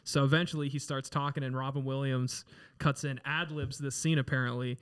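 A man with a smooth, clear voice chats informally with fellow panelists. He sounds enthusiastic, and his pitch sits in the middle range for a man. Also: level tone, brisk, a bit bright. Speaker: podcast panelist. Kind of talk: casual chat about films.

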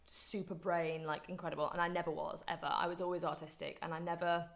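A woman's voice: fairly low pitch, little pitch movement, fast talker, gravelly. A woman in her twenties from Liverpool with a Scouse accent, a guest chatting casually in an interview and using lots of "like" fillers. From Liverpool, England. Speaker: podcast guest, campaigner.

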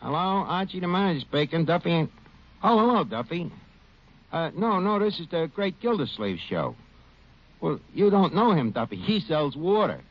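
Brash tavern manager on the phone. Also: male voice, on the high side, big pitch swings, moderate pace. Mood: offhand, brash. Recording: dull 1940s radio broadcast recording.

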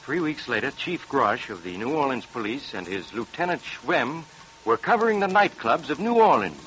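An actor playing a police superintendent, soberly narrating a case in formal 1940s radio style. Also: male voice, mid-range voice, sing-song, moderate pace, a bit bright, poor audio. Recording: vintage radio with faint hum.

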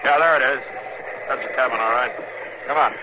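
A man's voice: mid-range voice, brisk, dull audio, natural voice. An actor playing a stern, determined American frontier lawman in a radio western, with a terse, firm delivery. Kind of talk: 1950s radio drama acting. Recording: hissy 1950s radio recording.

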